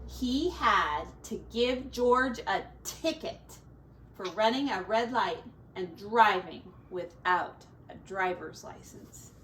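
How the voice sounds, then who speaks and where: A woman's voice: mid-range voice, animated, slow pace, fairly bright tone. A woman teacher reading a children's story aloud expressively, stretching words and adding folksy, chatty asides for young listeners. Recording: distant mic with faint background noise.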